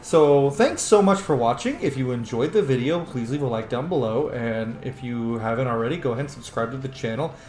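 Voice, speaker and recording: A man's voice: mid-range voice, animated, brisk, hardly any pauses, darkish tone, roomy sound. A man giving casual, sweary and self-mocking commentary as he hosts a gaming video.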